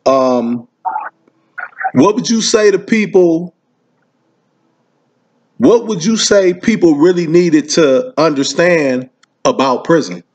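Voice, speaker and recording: A man's voice: fairly high pitch, animated, slow pace, long pauses. A man hosting a casual interview, speaking informally and repeating his phrases for emphasis. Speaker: podcast host interviewing a caller.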